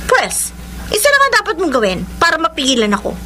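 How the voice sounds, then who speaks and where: A scheming, desperate Filipino woman in a radio drama. Her voice is very high and swoops widely as she confronts and threatens. Faint noise sits behind her. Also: wildly swooping pitch, a little fast, sharp tone, exaggerated voice. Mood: threatening, shrill. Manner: melodramatic, confrontational.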